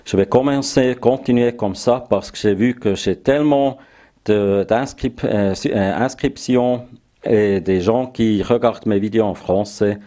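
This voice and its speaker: A man shows off his workshop gear, speaking slowly and pausing often to hunt for words. His voice sits low and mellow. He talks in a casual, plain way. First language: German. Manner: hesitant, searching for French words. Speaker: YouTube hobby channel host.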